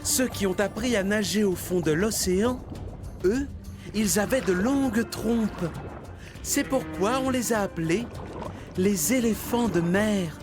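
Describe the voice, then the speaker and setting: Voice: medium pitch, measured pace, music in the background, natural voice. An actor playing a friendly, helpful elephant seal who explains things patiently in a cartoon dub. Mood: helpful storytelling. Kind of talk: cartoon dubbing.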